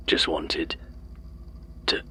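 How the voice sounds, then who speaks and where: A man playing a menacing, slow-witted inhuman deliveryman who speaks in blunt, halting fragments in an audio horror drama. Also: pushed and bright, a little hiss or hum. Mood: grim, taunting. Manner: halting, terse, clipped fragments.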